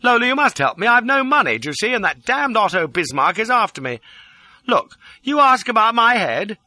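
Man acting a desperate, high-pitched English rogue, pleading. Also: very animated, moderate pace, sharp tone, no echo, exaggerated voice. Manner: overwrought, pleading, exclamatory. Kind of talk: dramatic audiobook character acting.

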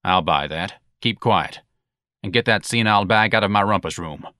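A man's voice: on the low side, normal speed, bright, forceful tone, dry recording, studio quality. Man acting a blunt, exasperated, sarcastic husband. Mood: curt, exasperated.

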